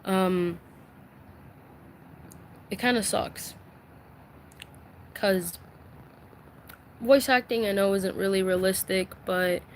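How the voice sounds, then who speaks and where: Slow, soft-spoken woman venting on a live stream. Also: mid-range voice, fairly even intonation, slight background noise. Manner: slow, halting, many fillers and restarts; soft, confiding, close to tears. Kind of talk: emotional live-stream vent. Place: her bedroom at home.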